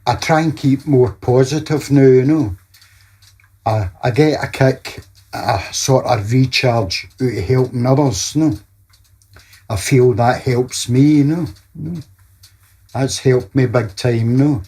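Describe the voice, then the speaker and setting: A man's voice: mid-range voice, measured pace, dark tone, slightly rough. A middle-aged Scottish man giving a plain-spoken personal testimony, often ending phrases with small tags like "you know". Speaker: interviewee sharing a personal story.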